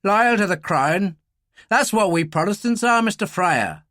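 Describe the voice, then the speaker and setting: A man's voice: high-pitched, big pitch swings, moderate pace, a bit bright, no room sound, studio quality, voice pushed up. A man voicing an intense, bitter young paramilitary leader in a thriller audiobook, with a Belfast accent and a wary, sardonic manner. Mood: bitter anger.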